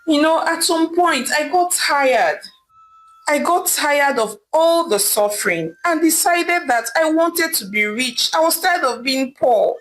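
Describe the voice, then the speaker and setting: A weary, secretive mother in a folktale, played by a woman narrator. She explains gravely and with resignation, in a fairly high voice with expressive rises and falls. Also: unhurried, faint music behind, poor audio. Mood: confessing, apologetic.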